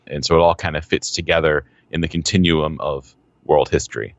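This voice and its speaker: A man's voice: very deep voice, fairly even intonation, fairly quick, no room sound, lo-fi sound. A young man guesting on a podcast, telling stories with enthusiasm and a few ums. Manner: enthusiastic storyteller, some ums. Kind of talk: casual podcast conversation.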